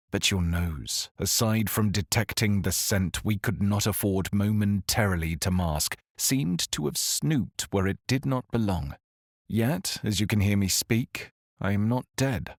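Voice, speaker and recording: Guy doing a calm formal doctor in exile, lowish voice that rises and falls a lot, dry close sound. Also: no echo. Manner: measured, formal confessional address. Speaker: narrator character. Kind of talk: scripted audio drama monologue.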